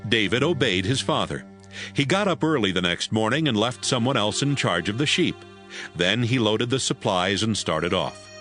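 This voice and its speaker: A man's voice: lowish voice, animated, moderate pace, sharp tone. A man narrating a dramatized audiobook as a scripted storyteller. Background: music.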